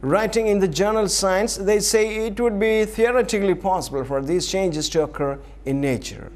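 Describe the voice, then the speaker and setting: High-pitched male news reader. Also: normal speed, a little mellow. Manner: formal, announcer-like delivery. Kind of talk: scripted news bulletin reading.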